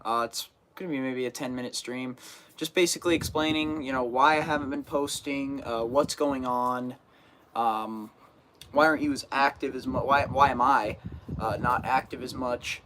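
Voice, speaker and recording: A man's voice: medium pitch, steady tone, normal speed, fairly bright tone, a little hiss or hum. A teenage boy chatting casually on a live stream, getting over a cold and sniffling, rambling with lots of fillers and restarts. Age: teens. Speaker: fishing channel host.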